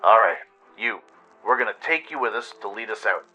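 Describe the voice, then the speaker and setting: A man's voice: lowish voice, expressive, normal speed, pushed and bright, soft background music. A man voicing a tough, scarred gunslinger pony in a radio play, stern and threatening.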